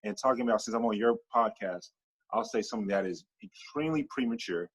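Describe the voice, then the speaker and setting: Husky man, animated talk. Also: fairly low pitch, quick pace, poor audio. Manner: animated, builds long repeated lists. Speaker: podcast guest, entrepreneur. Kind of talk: casual interview talk.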